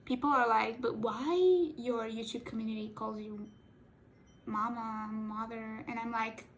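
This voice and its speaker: A woman's voice: a little high, little pitch movement, measured pace, a bit bright. A young woman whose first language is Portuguese, casually rambling and joking while doing a makeup tutorial, often correcting her own words. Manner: rambling, playful, self-correcting, giggly. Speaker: cosplay makeup vlogger.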